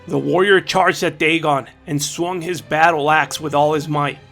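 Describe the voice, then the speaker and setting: A man's voice: fairly high pitch, fairly even intonation, normal speed, creaky, a bit bright, faint music behind. A man reading scripted, dramatic fantasy narration as the story's narrator. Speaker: author and narrator.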